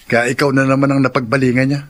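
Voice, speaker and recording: A man's voice: medium pitch, level tone, fast, fairly bright tone, natural voice. Man playing a caring Filipino younger brother. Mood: sympathetic. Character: caring, hardworking teenage schoolboy, younger brother. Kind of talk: Filipino radio drama acting.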